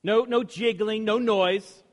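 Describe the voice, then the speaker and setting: Gruff grumpy woodcarver put on by a woman. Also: fairly high pitch, steady tone, takes it slowly, pitched above the performer's own voice. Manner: gruff, curt, dismissive. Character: gruff grumbling old male woodcarver. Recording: echoey room, long reverb, faint hiss.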